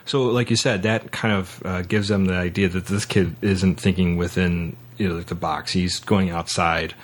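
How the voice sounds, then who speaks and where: A man hosting a podcast, casually talking through a film in a halting way, circling back to repeat his words. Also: deep voice, fairly even intonation. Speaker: podcast host.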